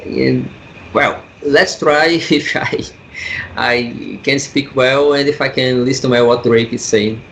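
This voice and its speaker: A man from Brazil with a Brazilian Portuguese accent, a comic artist guest speaking English as a second language, halting and searching for words. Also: medium pitch, creaky, a bit bright, slight background noise, poor audio. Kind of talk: casual interview over a call.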